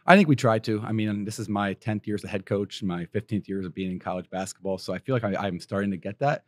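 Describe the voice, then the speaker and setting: Man, raspy, hoarse from coaching, fast talker, lowish voice, dry close sound. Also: no room sound. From Pennsylvania. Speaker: college basketball head coach. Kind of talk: interview answers.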